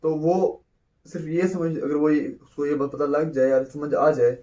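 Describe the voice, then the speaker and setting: A man's voice: a little high, little pitch movement, moderate pace, dark tone, distant mic. A man teaching a law lecture, who repeats points and answers his own questions. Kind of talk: explanatory lecture, mixing in English terms.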